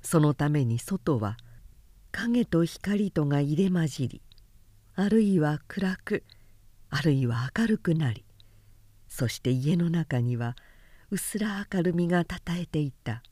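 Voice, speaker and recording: A woman narrating literary fiction aloud as an audiobook, with measured, deliberate phrasing and pauses. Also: sits low, animated, dark tone, dry recording. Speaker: narrator reading a novel aloud.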